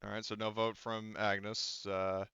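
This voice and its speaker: Male game master, clear bright voice, improvised tabletop narration, emphatic but halting, searching delivery. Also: fairly low pitch, flat delivery, no echo, lo-fi sound. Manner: halting, discursive, emphatic storytelling.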